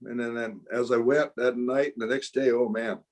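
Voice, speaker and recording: A man in his seventies giving a plainspoken, unhurried check-in to his Bible study group. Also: medium pitch, fairly even intonation. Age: 70s. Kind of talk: casual check-in.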